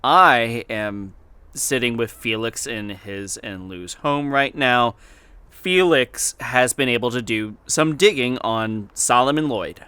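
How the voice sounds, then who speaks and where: An actor playing a weary, guarded researcher who dictates a deliberate audio log in a horror podcast. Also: male voice, mid-range voice, bright, forceful tone, clear, resonant tone, dry recording. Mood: businesslike. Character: weary male researcher recording audio logs, guarded, brooding. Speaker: investigator narrating an audio journal.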